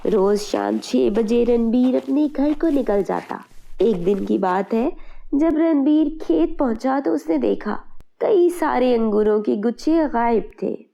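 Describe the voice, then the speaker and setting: Female narrator for scripted children's moral stories: high-pitched, with some vocal fry. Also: lively intonation, takes it slowly, mellow, dull audio.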